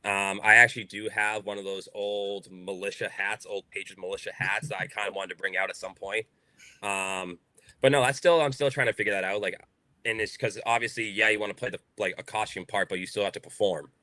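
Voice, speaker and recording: Brash young Boston man, deep voice. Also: steady tone, brisk, sharp tone, dry recording. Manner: outspoken, filler-heavy casual talk. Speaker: baseball player guest. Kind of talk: podcast interview.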